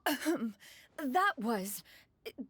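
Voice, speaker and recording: A woman's voice: high voice, sing-song, slow, a bit bright, husky, no echo, exaggerated voice. A woman voicing a proud, aloof ancient immortal in a video game, with haughty, formal, archaic phrasing. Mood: flustered pride. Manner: haughty, formal archaic diction, calls herself "one". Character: proud, aloof ancient female immortal bird adeptus in human form.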